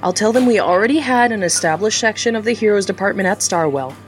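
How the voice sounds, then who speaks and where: A woman's voice: medium pitch, lively intonation, fast, faint music behind, close to an everyday voice. An actress playing an earnest, hesitant young woman who works for a charity. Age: young adult.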